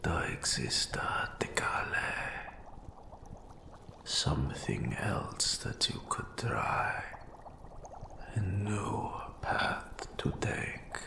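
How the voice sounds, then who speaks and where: Eldritch mentor, very deep gravelly whisper. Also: male voice, very animated, reverberant, exaggerated voice. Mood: measured, mysterious. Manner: slow, deliberate, formal, ominous phrasing. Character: eldritch, nameless old archive custodian, formal, patient, melancholy mentor. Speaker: mentor in forbidden magic. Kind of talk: scripted roleplay audio drama.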